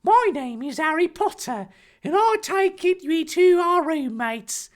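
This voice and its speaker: An earnest little boy hero from a comic storybook reading. The voice is pitched very high and drawn out slowly, with polite, exclamatory delight in every phrase. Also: animated. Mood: brave, friendly. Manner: exclamatory, over-polite, declaiming.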